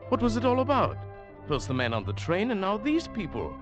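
An actor playing an uneasy traveller who narrates an eerie tale in a dramatic 1940s radio drama style. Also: male voice, sits high, huge pitch swings, fairly quick, muffled recording. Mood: bewildered, agitated. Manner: dramatic, hushed swelling to agitated. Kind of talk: 1940s radio drama acting, first-person scripted narration. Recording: old radio broadcast with music.

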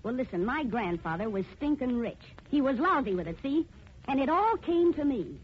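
A brash young woman in a 1940s radio play, high and very animated in pitch. She is combative and slangy, and the old recording is faintly noisy. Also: moderate pace, lo-fi sound. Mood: defiant. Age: young adult. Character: brash, defiant young woman claiming a lost inheritance. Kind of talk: 1940s radio drama acting.

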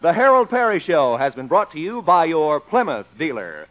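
Male American announcer, high animated voice, polished and emphatic, vintage radio commercial style, muffled old recording. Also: normal speed. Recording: dull old radio broadcast with music.

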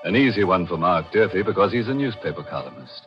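Low-voiced sardonic radio horror host. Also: male voice, sing-song. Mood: wry menace. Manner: wry, tongue-in-cheek, deliberate. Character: sardonic macabre male horror host and narrator. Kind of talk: 1940s radio mystery narration. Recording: muffled old radio broadcast with music.

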